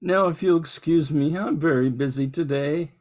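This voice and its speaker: A man's voice: a little high, moderate pace, a little mellow, lightly acted. A man playing a harried, polite small-town bakery owner in an old-time radio drama, earnest and hesitant. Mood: worried, reluctant. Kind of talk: 1940s radio drama acting.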